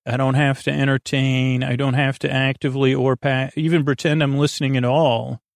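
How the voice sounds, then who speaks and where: A man with a creaky, gravel-edged voice rambles through a casual bedtime podcast introduction. He halts, repeats himself and wanders off on tangents. The sound is close and very dry, with no room around it. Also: medium pitch, flat delivery, no echo. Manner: meandering, halting, repeats himself, drifts into tangents. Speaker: podcast host.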